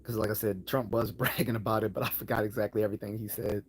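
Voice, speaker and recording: Hoarse man rambling through a livestream. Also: fairly low pitch, fairly even intonation, quick pace. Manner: rambling, hesitant, many fillers and restarts. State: hoarse, losing his voice. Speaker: livestream host answering chat questions. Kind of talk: casual live Q&A chat.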